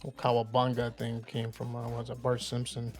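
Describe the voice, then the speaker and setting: A middle-aged man chatting casually in a laid-back, halting way, often repeating words as he goes. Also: medium pitch, completely flat delivery, a little mellow, soft background music. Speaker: co-host, comic artist. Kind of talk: casual chat.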